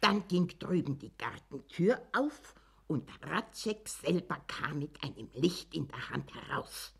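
A woman's voice: sits low, sing-song, measured pace, vocal fry, fairly bright tone, breathy edge, exaggerated voice. An actress playing a nosy old village woman in a German radio drama, rambling with suspense and self-importance. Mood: mysterious, rambling. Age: older.

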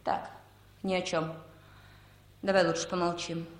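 An actress playing an official's fashion-loving wife in a Soviet radio play, heard in a roomy, echoing space. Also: female voice, lowish voice, little pitch movement, a little slow, natural voice. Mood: guarded.

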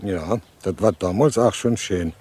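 A man playing a plain-spoken villager with a west-central German regional accent, giving a halting, colloquial eyewitness account in a radio play. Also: deep voice, darkish tone, husky. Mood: matter-of-fact recollection. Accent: regional west-central German (Hessian-Palatinate).

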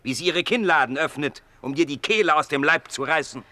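A man acting in a radio play as a gruff, cynical Roman gladiator boss, brusque and scornful. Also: a little high, animated, fairly quick, sharp tone, no room sound. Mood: menacing.

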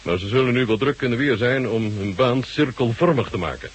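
Radio drama marshal: shrewd Soviet old soldier, very husky, mid-pitched, genially bantering and courteous. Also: male voice, lively intonation, fairly quick. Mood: calm, explaining.

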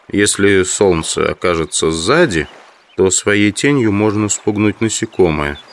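A brooding entomologist thinks aloud in an audio drama. His voice is fairly low, and he muses in an obsessive, reflective way, as if arguing with himself. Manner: reflective, musing. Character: brooding, obsessive male insect collector lost in thought. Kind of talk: dramatised inner monologue.

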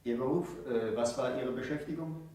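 An actor playing a stern presiding judge in a 1960s German radio play, formal, clipped and official. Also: male voice, mid-range voice, moderate pace, warm tone, close to an everyday voice. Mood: questioning, impatient. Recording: echoey courtroom scene, distant from mic.

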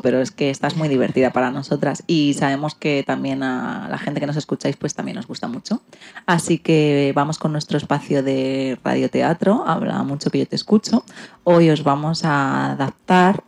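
Informal radio show host with a gravelly edge, introducing segments conversationally. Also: mid-range voice, darkish tone. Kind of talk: casual presenting of a radio show.

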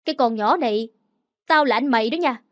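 A woman's voice: fairly high pitch, fairly quick, dry recording, studio quality, natural voice. A woman voicing a callous, hot-tempered young man who defends himself with bluster in an audio story. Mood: defensive.

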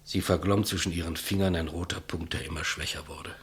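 An actor playing a humble, gentle executioner in a 1950s German radio play, speaking with hushed reverence in a husky, breathy voice. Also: male voice, low-pitched, fairly even intonation, full character voice. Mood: meek, hushed. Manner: slow, reverent, deferential, hushed. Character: humble, gentle executioner, calm servant of the rulers.

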